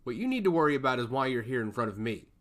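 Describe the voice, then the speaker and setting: A man voicing an imperious, scornful immortal goddess in a fantasy story, with a sharp manner. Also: medium pitch, big pitch swings, quick pace. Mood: stern, imperious. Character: haughty, scornful goddess of law, an immortal woman. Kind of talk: fantasy audio story dialogue.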